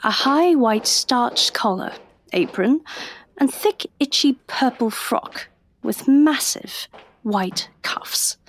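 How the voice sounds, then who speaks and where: A woman's voice: fairly high pitch, lively intonation, slow pace, slight background noise. An actress playing a wry young undercover policewoman, confiding in the listener in an audio drama. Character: young woman undercover police agent, wry, posing as nurse. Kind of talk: first-person audio drama narration and dialogue.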